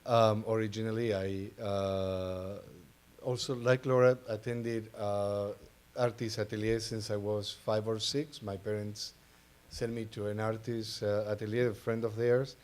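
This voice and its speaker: A man with an Argentine accent talks informally as a panelist. His voice sits a bit low, and he speaks hesitantly, with many pauses. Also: fairly even intonation, a little mellow. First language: Spanish. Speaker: panelist, art advisor. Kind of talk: informal panel talk, personal introduction.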